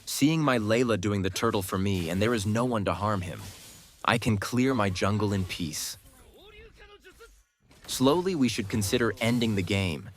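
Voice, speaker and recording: A man giving scripted, instructive game guide commentary with a cocky, playful streak. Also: fairly low pitch. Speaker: gaming guide creator, commentator.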